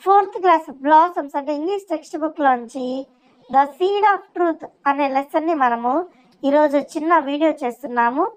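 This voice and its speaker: A woman's voice: high-pitched, normal speed, no room sound, poor audio. A woman presenting and narrating a story as a schoolteacher would, in a scripted storytelling style. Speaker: teacher presenting and narrating a children's school skit.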